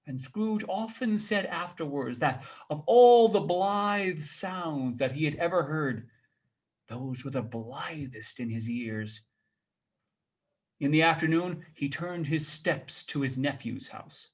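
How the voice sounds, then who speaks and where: A man reading a story aloud as a theatrical storyteller, dramatic and expressive. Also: on the high side, takes it slowly.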